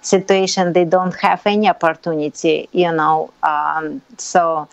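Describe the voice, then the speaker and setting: A woman's voice: deep voice, flat delivery, a little slow, creaky, no room sound. Woman, 30s, Russian accent, eager and frank, earbud mic on a video call, dry close sound. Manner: eager, frank, slightly broken English. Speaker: guest. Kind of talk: casual interview over video call. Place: indoor room at home. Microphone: earbud headset mic on a video call. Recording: dry, slightly dull call audio.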